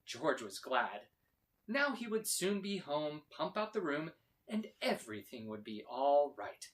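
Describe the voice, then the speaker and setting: Animated man reading a children's story. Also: a little high, a little slow. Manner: expressive, dramatic emphasis. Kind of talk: animated read-aloud narration for children.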